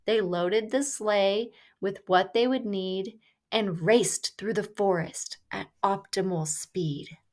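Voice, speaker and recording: A woman reading a rhyming children's story aloud in a measured, deliberate storytelling delivery. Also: mid-range voice. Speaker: storybook reader.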